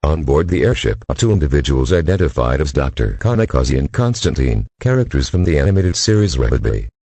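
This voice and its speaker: Rock bottom deep guy doing an emergency alert, formal and impersonal, bit rough, no room sound. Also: very animated, a little fast, dark tone, no echo. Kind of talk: scripted emergency broadcast announcement.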